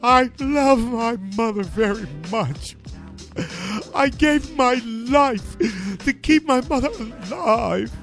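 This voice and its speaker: A man putting on the voice of a melodramatic man who tearfully pleads his innocence, high and wailing. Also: takes it slowly, a bit bright, soft background music, exaggerated voice. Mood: mock tearful.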